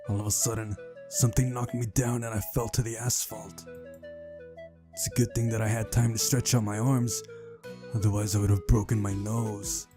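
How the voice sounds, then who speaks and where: A man's voice: fairly low pitch, little pitch movement, normal speed. A man reading a visual novel aloud and chatting casually as he plays, with music behind him. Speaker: host of a game playthrough. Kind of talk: casual playthrough chat and read-aloud narration of a visual novel. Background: game music.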